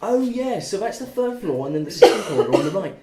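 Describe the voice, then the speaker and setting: A woman's voice: mid-range voice, sing-song, quick pace, over a noisy crowd, lightly acted. A woman playing an eager, over-friendly young male freshers' helper who stammers and apologises, at a student table read. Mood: eager, flustered. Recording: echoey room with people around.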